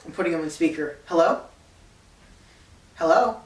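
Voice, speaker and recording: A woman's voice: on the low side, moderate pace, distant mic. A woman giving a comedic lecture summarizing a book for a live audience, mocking it and slipping into voices of the people she describes. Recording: roomy, from off mic.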